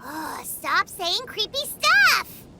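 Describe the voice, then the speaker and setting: Game sidekick: tiny childlike female fairy. Squeaky, very animated, slow-paced, naive and whiny. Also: vocal fry, heavily acted. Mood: spooked. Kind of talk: video game voice acting. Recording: studio voice under loud game music.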